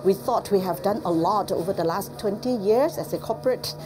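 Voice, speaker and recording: A woman executive talks earnestly and in a businesslike way in an interview. Her voice sits at an ordinary middle pitch. A clear music bed plays under her words. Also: a little fast. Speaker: chief sustainability officer.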